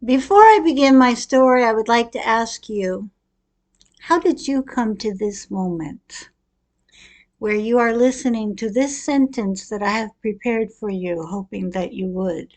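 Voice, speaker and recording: A middle-aged woman giving a prepared personal talk as a storyteller, with deliberate, expressive and reflective delivery. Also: fairly high pitch. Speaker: speaker telling her own life story.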